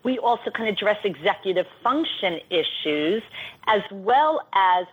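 A woman's voice: mid-range voice, lively intonation, unhurried, lo-fi sound, slight background noise. A middle-aged woman with a New York accent, a guest coach explaining at length in an articulate, list-like way. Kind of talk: radio interview.

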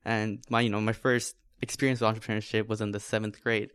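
A young man from Bangladesh, a student co-founder, telling his story at a relaxed pace in an interview. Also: fairly low pitch, quick pace, clean tone, no echo, clean studio sound. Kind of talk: interview answers.

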